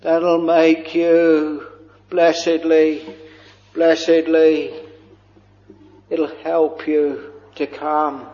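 Man, preacher, slightly high voice, intoning, chant-like, long reverb in a big hall. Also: flat delivery, dull audio. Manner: drawn-out, intoning, breaks into a chant-like lilt. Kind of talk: slow fervent sermon.

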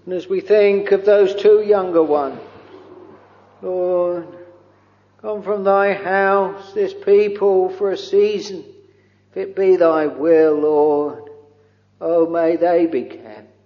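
A man leading a slow, pleading extempore prayer from the pulpit, intoning in old-fashioned English with many interjections of "oh" and "Lord". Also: high voice, fairly even intonation. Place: church or chapel. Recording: dull lo-fi recording with long hall reverb.

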